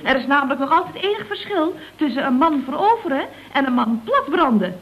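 Sharp-tongued female telephonist, radio comedy, high voice, lively swings, faintly noisy old recording. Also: highs cut off. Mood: catty, mock-sweet. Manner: mock-polite, catty, theatrically drawn-out. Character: sharp-tongued, scheming female office telephonist at a building firm. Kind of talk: radio comedy serial acting.

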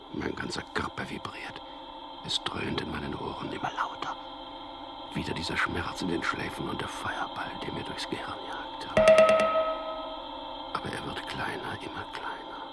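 An actor playing a drugged, tormented prisoner in a radio drama, narrating his memories in a gravelly, breathy, half-whispered inner monologue, brooding and bitter. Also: rumbling low, heavily acted. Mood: dazed, pained.

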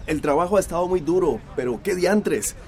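An older Central American villager for a radio serial, courtly and folksy, with a nagging chesty cough. His fairly high voice swings widely in pitch, over faint background noise. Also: lively intonation, moderate pace. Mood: cheerful, weary. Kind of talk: radio drama acting.

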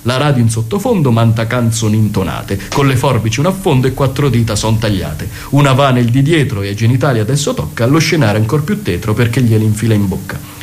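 A man with a mid-pitched voice tells a comic story for radio theatre. He declaims in sing-song rhyming verse, like a ballad narrator. A faint hum runs behind him. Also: a little mellow. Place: radio broadcast.

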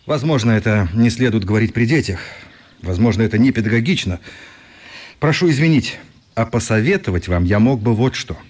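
A man's voice: on the low side, lively intonation, a little fast, a little mellow. An actor playing a politely defiant, ironic male newspaper correspondent in a radio play, speaking in courteous, articulate phrases. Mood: principled, ironic. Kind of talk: radio drama acting.

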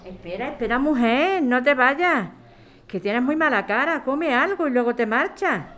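A woman's voice: high-pitched, lively intonation, normal speed, fairly bright tone, a little hiss or hum. A woman playing a motherly, concerned bar keeper in a radio drama, colloquial and given to exclaiming.

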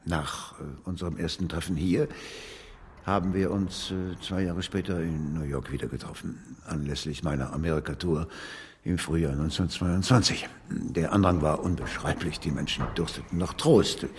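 An actor in a German radio drama playing an earnest, dignified gentleman author who recounts his memories deliberately. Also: deep voice, fairly even intonation, a little hiss or hum, lightly acted. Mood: reminiscing. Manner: dignified, deliberate, slightly hesitant. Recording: music behind the voice.

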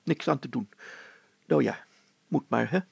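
A man voicing a fussy, bumbling older mayor in a children's story, flustered and self-pitying, hemming, hawing and clearing his throat. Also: medium pitch, measured pace, darkish tone, no echo, pitched above the performer's own voice, heavily acted. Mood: reluctant, grumbling.

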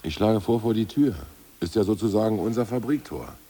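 A husky, sarcastic ousted party boss. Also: male voice, lowish voice, fairly quick, dark tone. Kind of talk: 1980s radio drama acting.

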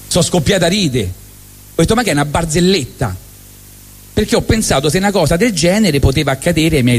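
A middle-aged man with a strong Roman accent tells a comic monologue in a wry, deadpan tone, slipping into quick acted asides. His voice sits a little high for a man. The sound carries the long reverb of a hall. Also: breathy edge. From Rome, Italy. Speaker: monologue performer. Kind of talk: comic storytelling monologue on stage. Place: stage at a live benefit event.

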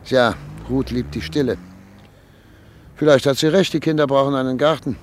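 Radio play: actor playing a reflective doctor in his late thirties, mid-pitched, faint hiss behind. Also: normal speed, natural voice. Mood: wistful reflection. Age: 30s. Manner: wistful, reflective, quietly anxious. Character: 39-year-old doctor, proud yet uneasy. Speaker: professor and chief physician. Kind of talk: 1930s period radio drama.

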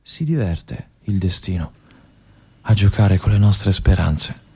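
An actor playing a restless young army lieutenant in a theatrical monologue, with an intense, halting delivery that moves between hushed and urgent. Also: male voice, low-pitched, slightly rough, exaggerated voice. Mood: urgent, bitter. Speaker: stage actor performing a monologue.

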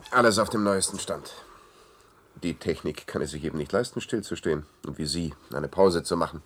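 Deep-voiced, smug institute scientist. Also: male voice, brisk, a bit bright, a little hiss or hum. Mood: proud, urbane. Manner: urbane, self-satisfied, lecturing. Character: smug, coolly obsessed male scientist running an institute. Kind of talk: 1960s-90s German science-fiction radio drama.

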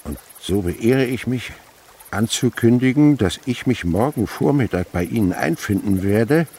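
An actor playing an excitable middle-aged scientist in a radio drama, proud and fatherly, muttering and repeating himself. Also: mid-range voice, measured pace, slightly rough. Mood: astonished, agitated.

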